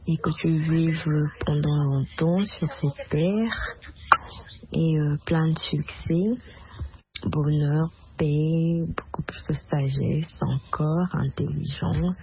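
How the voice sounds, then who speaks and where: Slow-talking woman with the flu. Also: low-pitched, background noise. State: sick with flu, stuffy voice. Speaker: caller sending birthday wishes. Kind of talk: spoken birthday message. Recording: dull lo-fi audio with faint music.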